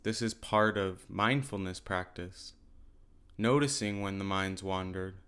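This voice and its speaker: Smooth low-voiced man guiding meditation. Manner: gentle, unhurried instruction with pauses. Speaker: meditation teacher. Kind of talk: guided mindfulness meditation.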